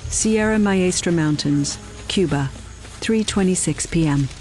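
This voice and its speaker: An actor playing an impersonal announcer who reads out places and times in clipped phrases for an audio drama. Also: male voice, high-pitched, a little slow, a little mellow, a little hiss or hum. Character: impersonal location and time announcer.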